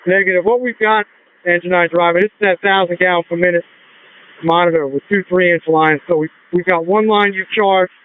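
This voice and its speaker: A man's voice: high voice, fairly even intonation, brisk, clean tone. A man working as a fire officer, giving clipped, directive radio traffic over a two-way radio. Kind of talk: terse fireground radio traffic. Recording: noisy, tinny two-way radio transmission.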